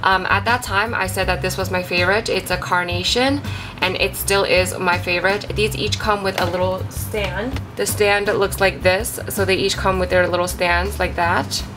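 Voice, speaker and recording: A woman's voice: mid-range voice, fairly even intonation, normal speed. A young woman with a stuffy, congested voice, chatting casually as she shows her handmade craft pieces, apologetic and full of ums and likes. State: congested from a cold. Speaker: craft channel host. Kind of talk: casual show-and-tell vlog. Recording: clear music behind the voice.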